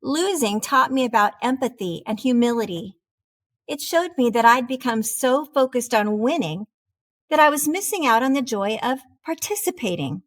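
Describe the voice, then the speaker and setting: Woman voicing a high, theatrical cheese storybook character. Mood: calm, reflective. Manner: theatrical, expressive, deliberate delivery. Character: proud, dramatic cheddar wheel learning humility, storybook narrator. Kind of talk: animated children's storybook read-aloud.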